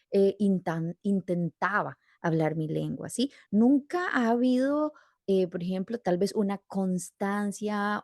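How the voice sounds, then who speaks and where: A woman's voice: mid-range voice, mellow, no room sound, studio quality. A woman hosting an interview podcast, explaining at length and adding rhetorical questions as she goes. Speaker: podcast host. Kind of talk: warm interview chat.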